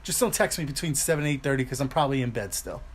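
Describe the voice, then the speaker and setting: A man's voice: mid-range voice, lively intonation, very fast. A man hosting a casual talk podcast, upbeat and teasing as he reads announcements and needles his co-host. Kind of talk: casual chat.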